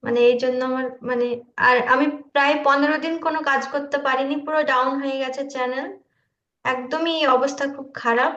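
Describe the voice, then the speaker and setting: A woman informally explaining her work in a halting, loose way on an online call. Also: sits high, steady tone, pushed and bright, reverberant, dull audio. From India. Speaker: video creator showing their work. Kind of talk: informal screen-share walkthrough.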